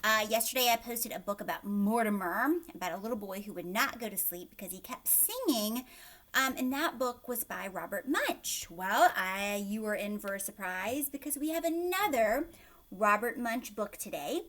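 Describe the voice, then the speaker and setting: A woman's voice: mid-range voice, expressive, moderate pace, a bit bright. A woman hosting a children's read-aloud, narrating a storybook expressively and breaking off to question her young listeners in an emphatic way.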